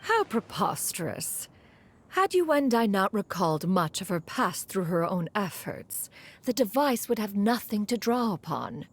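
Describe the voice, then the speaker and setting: A woman's voice: mid-range voice, very animated, unhurried, a little hiss or hum. A woman voicing an ancient, aloof female adeptus who speaks in a formal, archaic way. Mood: composed. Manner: archaic formal speech, calls herself "one". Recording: faint music underneath.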